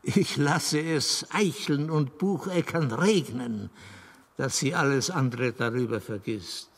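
An actor playing the Moon as a patient, deliberate fairy-tale advisor in a radio play. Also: male voice, medium pitch, slightly rough. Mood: patient, helpful. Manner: deliberate, explanatory, with weighty pauses.

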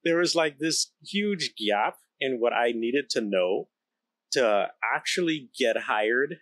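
A man's voice: mid-range voice, animated, takes it slowly, a bit bright, dry recording. Emphatic man from the Bahamas, dry close sound. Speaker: interview guest, company founder and engineer. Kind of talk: candid conversational storytelling. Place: large indoor electronics production floor, seated. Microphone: close podcast microphone on boom arm.

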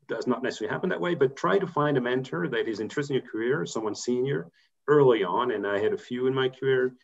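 A man's voice: medium pitch, vocal fry. A man in his fifties from Belgium, a corporate treasurer giving career advice on a video call, talking thoughtfully with many fillers and restarts. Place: home room, indoors. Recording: muffled video call audio.

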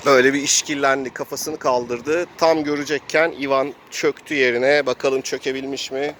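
A man's voice: medium pitch, fairly even intonation, moderate pace, a bit bright. A man narrates an improvised role-playing game outdoors as host and game master, telling it casually with spoken sound effects. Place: outdoors in an urban area. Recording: outdoor urban noise, passing vehicles.

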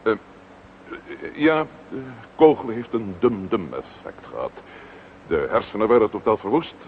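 Evasive old-school professor in a radio play, deep voice that swoops, formal, hesitant, tinny noisy recording. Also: male voice, very animated, moderate pace. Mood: formal, grave. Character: courtly, evasive male professor of surgery.

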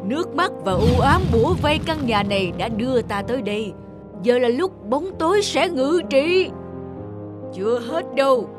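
A woman's voice: high voice, animated, normal speed, over music. A woman voicing a sinister cartoon spirit of darkness in a menacing, theatrical manner. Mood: gloating menace. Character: sinister flame-haired dark spirit feeding on misery. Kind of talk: cartoon villain acting.

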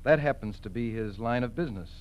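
An actor playing a sharp-witted, matter-of-fact FBI agent in a 1940s radio drama, asking terse questions. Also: male voice, mid-range voice, quick pace, natural voice. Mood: probing. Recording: dull, hissy 1940s radio recording.

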